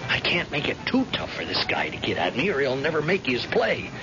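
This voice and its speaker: An animated, stubborn police captain, blinded but insistent in an old radio drama. He protests and reassures with halting starts. The recording is dull and clearly noisy. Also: mid-range voice. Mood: defiant.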